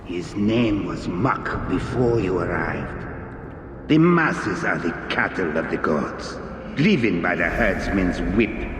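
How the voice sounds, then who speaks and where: An actor playing a contemptuous, fanatical priest villain in a video game, sneering and theatrical. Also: male voice, low voice, animated, slow pace, noisy background. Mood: scornful. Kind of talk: video game cutscene acting. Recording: echoing hall, music behind.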